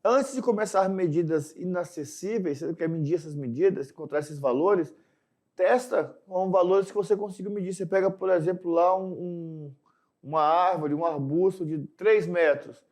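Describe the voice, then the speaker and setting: Joking man co-teaching math, high voice. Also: normal speed, a little mellow. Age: 40s. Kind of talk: televised classroom lesson.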